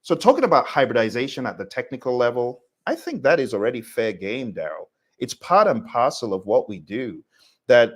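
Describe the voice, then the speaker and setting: A man teaching a live online class, talking in an emphatic, conversational way and addressing listeners directly. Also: mid-range voice. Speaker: instructor hosting a live class. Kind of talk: live online lecture with audience questions.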